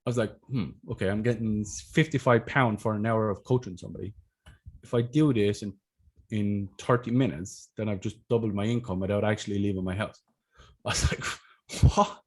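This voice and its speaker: A man's voice: on the low side, fairly even intonation, a little fast, husky. An Irish man in his thirties, a podcast host telling his story in a casual, blunt and sweary way over a video call with a desk mic. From Ireland. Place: home room on a video call. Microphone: desk microphone on a video call.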